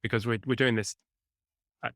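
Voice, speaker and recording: A man's voice: medium pitch, fairly even intonation, normal speed, a bit bright, dry recording, clean studio sound. A man with an English accent, from near Cambridge, hosting a casual podcast interview in a friendly, teasing way over a video call. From England, near Cambridge. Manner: friendly, teasing, self-mocking. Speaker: podcast host.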